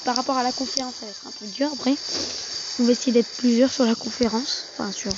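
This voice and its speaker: A gaming video host giving casual, halting commentary on a mobile game, often repeating and correcting phrases, on a muffled amateur recording. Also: mid-range voice, fairly even intonation, background noise.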